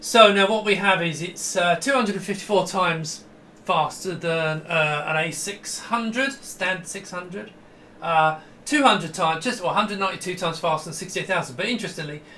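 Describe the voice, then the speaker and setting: Bright-voiced, higher-pitched Englishman. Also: moderate pace, slight background noise. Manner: enthusiastic, rambling. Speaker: retro computer vlogger. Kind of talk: casual hands-on computer demonstration. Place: small indoor home computer room.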